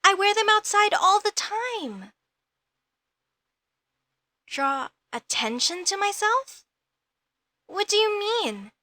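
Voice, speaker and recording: A woman's voice: extremely high pitch, sing-song, slow pace, pauses often, sharp tone, no room sound, studio quality, exaggerated voice. A woman voicing a young elf mage girl in a comedic audio roleplay, speaking formally with a questioning, indignant and easily flustered manner.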